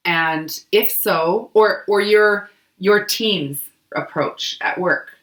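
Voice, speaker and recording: Hoarse woman with a cold, echoey room. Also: mid-range voice, takes it slowly, fairly bright tone. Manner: chatty, loose, many fillers. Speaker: book club podcast co-host. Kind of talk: casual explanatory chat.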